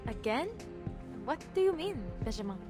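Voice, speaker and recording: A woman's voice: high voice, sing-song, moderate pace. An actress playing a nervous, defensive young woman in a game, hesitant and a little wry. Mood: wry, guarded. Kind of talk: dramatic game voice acting. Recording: music behind the voice. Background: music.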